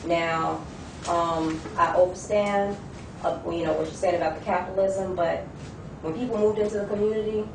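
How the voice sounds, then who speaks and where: A woman's voice: on the low side, fairly even intonation, moderate pace. Earnest woman in a noisy, echoey room. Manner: earnest, listing, repeats herself. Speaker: discussion participant. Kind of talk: testimony in a debate. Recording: echoey room, far from the mic, with clear noise.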